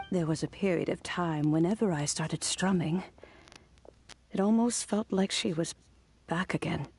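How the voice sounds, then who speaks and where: A woman playing a kindly old granny in a video game, speaking in a measured, reflective and gentle way. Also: low voice, husky. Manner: measured, reflective, with soft sighs. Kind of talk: scripted video game dialogue.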